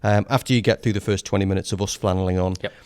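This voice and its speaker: A man's voice: low-pitched, steady tone, racing, darkish tone, smooth. A man with an English accent co-hosting a podcast indoors by a fire, talking in a chatty, jokey way with many fillers. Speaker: podcast co-host. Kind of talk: casual chat.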